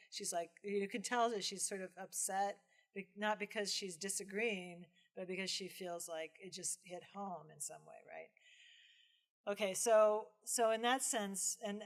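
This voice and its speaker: Female professor, medium pitch, informal lecture Q&A, conversational, expressive retelling. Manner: conversational, animated retelling with acted-out asides and frequent "right?". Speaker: professor giving a film lecture and taking audience questions. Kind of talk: informal lecture question-and-answer. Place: film screening lecture venue with audience.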